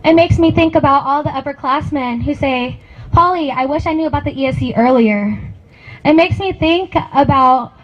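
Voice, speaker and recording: A woman student speaking at an outdoor campus rally, rousing and building on repeated phrases. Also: sits high. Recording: dull lo-fi outdoor recording, faint noise.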